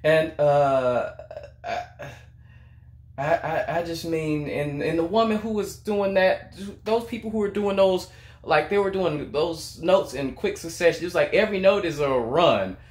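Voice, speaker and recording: Young adult male reaction host, higher-pitched voice, effusive and repetitive, casual delivery, recorded in an echoey room at home. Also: a little slow. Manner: effusive, emphatic, repeats words and phrases. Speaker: reaction video host, singer. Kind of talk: casual music reaction commentary. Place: small room at home, indoors.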